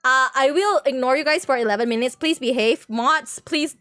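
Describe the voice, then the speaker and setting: A woman giving casual live commentary on a video game as a streamer, excitable and giggly, often repeating phrases rapidly. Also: high voice, no echo, studio quality.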